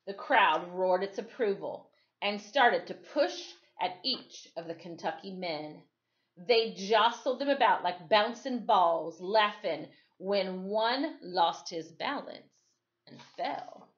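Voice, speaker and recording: A woman teacher reading a storybook aloud in a deliberate, dramatic way, with explanatory asides and checking questions. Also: mid-range voice, lively intonation. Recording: dull, lo-fi sound.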